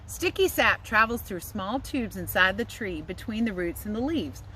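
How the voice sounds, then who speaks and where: A woman teacher giving a chatty, expressive read-aloud lesson for young children, speaking outdoors. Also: medium pitch, moderate pace, slight background noise, low-quality recording. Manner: chatty, expressive asides and questions to listeners. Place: outdoors in a yard.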